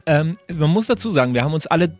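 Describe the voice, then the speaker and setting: Lively-pitched man hosting a chat over music. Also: fast. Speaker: podcast host leading the conversation. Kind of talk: casual chat about creating game characters. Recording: music playing behind. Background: background music.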